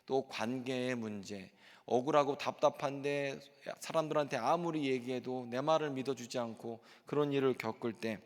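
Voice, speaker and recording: A middle-aged male pastor delivers a sermon in an earnest, explanatory tone and keeps turning to the congregation with rhetorical questions. His voice sits at an ordinary male pitch. Long reverb from the church hall trails behind each phrase. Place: church sanctuary.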